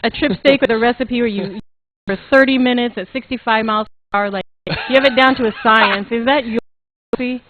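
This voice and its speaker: A woman's voice: a little high, brisk. A woman co-hosting a casual radio chat, sounding chatty and amused. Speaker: radio show co-host. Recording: narrow phone-like radio sound.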